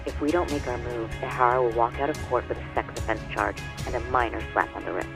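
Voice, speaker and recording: A man playing an earnest lawyer in a video game cutscene, briefing in a measured, formal, explanatory way. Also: medium pitch, creaky, lightly acted. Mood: cautioning. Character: earnest male lawyer briefing a detective colleague. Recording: music playing behind the voice.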